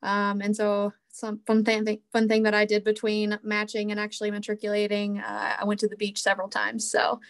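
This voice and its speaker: A woman's voice: medium pitch, completely flat delivery, normal speed, hardly any pauses. A young woman from West Virginia, a medical resident, introducing herself on a video call in a chatty way with fillers. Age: young adult.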